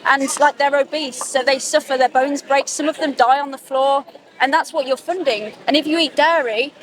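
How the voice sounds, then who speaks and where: A woman's voice: high-pitched, steady tone, normal speed, poor audio. A woman interviewing passersby outdoors, talking in an earnest, persuasive way and asking probing questions. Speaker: street interviewer. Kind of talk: street outreach conversation. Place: outdoors in a busy public street. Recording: outdoor street recording with crowd noise.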